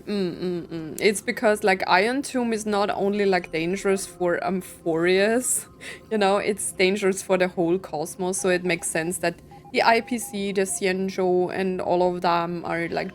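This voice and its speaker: A woman giving informal live gameplay commentary, thinking aloud and questioning as she goes. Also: on the low side. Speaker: gaming video creator reacting to a story quest.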